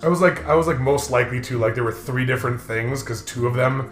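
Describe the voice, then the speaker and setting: A young American man chatting casually as a game co-host, telling rambling stories with sarcastic, dark humour. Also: medium pitch, quick pace. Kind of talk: casual joking chat, storytelling. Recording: roomy echo, faint music behind.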